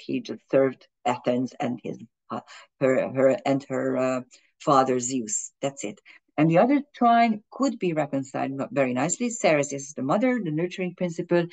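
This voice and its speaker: A woman's voice: a bass voice, a little mellow. Fumbling woman astrologer. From Hungary. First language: Hungarian and German. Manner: fumbling, with frequent restarts and fillers. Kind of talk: explaining an astrology chart in a video.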